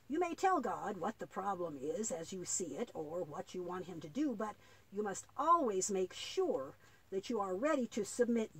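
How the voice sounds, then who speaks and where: A woman voicing a patient, devout old grandfather in a children's puppet play, explaining earnestly and haltingly with a husky voice. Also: mid-range voice, exaggerated voice, rough recording. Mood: earnest, instructive.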